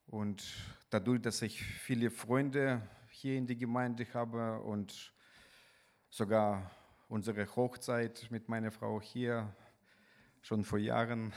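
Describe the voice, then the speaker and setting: A man from Ukraine with a Ukrainian accent, preaching in a halting, deliberate manner with pauses mid-sentence. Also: fairly low pitch. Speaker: guest preacher. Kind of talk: sermon with scripture reading.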